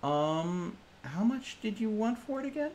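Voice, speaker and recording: A man's voice: high-pitched, sing-song, unhurried, a little mellow, slight background noise. A man playing a tabletop roleplaying game, chatting casually in and out of character with playful banter.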